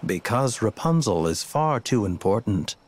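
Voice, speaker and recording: An actor playing a smug, coolly menacing villain in a video game, speaking in a measured, condescending, theatrical way. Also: male voice, fairly low pitch, sing-song. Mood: smug, calm. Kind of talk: scripted video game cutscene acting.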